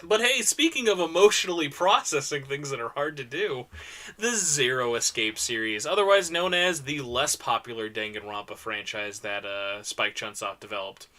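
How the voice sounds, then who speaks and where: A man's voice: medium pitch, lively intonation, moderate pace, pushed and bright. A man giving an enthusiastic, informal panel talk full of recommendations, stressing points by repeating words and using casual slang. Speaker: convention panel presenter.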